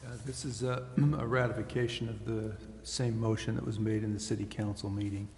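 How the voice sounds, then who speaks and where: A man's voice: lowish voice, level tone, fairly quick, vocal fry, darkish tone. A man, a city manager, giving a formal explanation at a meeting. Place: council chamber. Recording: reverberant hall, faint noise.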